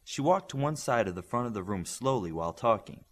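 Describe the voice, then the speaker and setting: Male audiobook narrator, scripted fiction, expressive reading, mid-pitched voice, very lively intonation. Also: a little fast.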